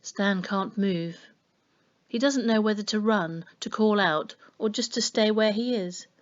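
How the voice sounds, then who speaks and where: A woman reading a children's story aloud in an expressive storytelling style. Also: medium pitch, measured pace, dull audio. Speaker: story reader. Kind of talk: expressive children's audiobook narration.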